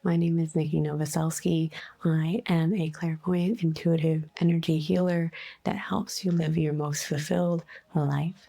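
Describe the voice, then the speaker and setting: A woman leading a guided meditation in a soothing, unhurried, gently instructive way, with breathy phrase endings. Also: low voice. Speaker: guided meditation host, energy healer. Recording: soft music bed behind voice. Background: faint music.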